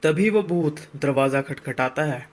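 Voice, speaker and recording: Slightly high-voiced male story narrator. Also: unhurried. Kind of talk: dramatic scripted storytelling narration.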